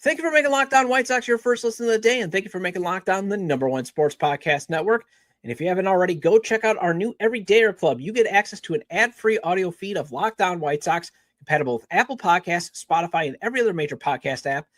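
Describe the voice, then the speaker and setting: High-pitched man reading podcast promos. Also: lively intonation, quick pace, a bit bright, no room sound. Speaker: podcast host. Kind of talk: scripted podcast sponsor and promo read.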